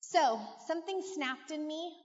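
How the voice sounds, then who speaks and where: High-pitched American woman, echoing hall. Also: unhurried. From California, United States. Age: middle-aged. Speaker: guest giving personal testimony. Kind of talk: personal testimony to a church audience. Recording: muffled, echoey large hall.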